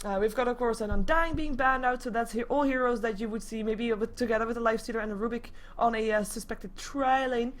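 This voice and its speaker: A woman casting an esports match live in a casual, chatty, expressive way. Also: on the high side, quick pace, hardly any pauses. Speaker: esports commentator.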